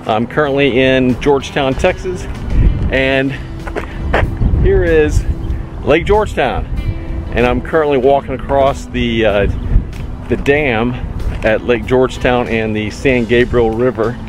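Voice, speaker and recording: A man's voice: mid-range voice, measured pace, long pauses, music in the background. A man in his forties, a travel vlogger, chatting casually to a handheld camera outdoors and in his camper van. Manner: chatty and upbeat, with frequent fillers. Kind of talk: casual travel vlog with voice-over narration. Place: outdoors on a lakeside dam, and inside a camper van. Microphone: handheld camera at arm's length.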